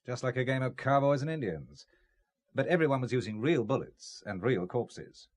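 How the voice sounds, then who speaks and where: A man's voice: medium pitch, expressive, lightly acted. An actor playing a principled businessman blackmailed into espionage, speaking with dry, bitter sarcasm in an audio drama. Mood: sardonic. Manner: dry, sardonic, deliberate.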